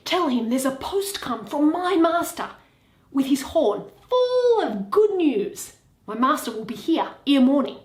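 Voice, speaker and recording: A woman playing a boisterous, clownish male servant in Shakespearean comedy, loud and hollering. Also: sits high, big pitch swings, a little slow, roomy sound. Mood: boisterous, excited. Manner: loud, whooping calls. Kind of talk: Shakespearean comic acting.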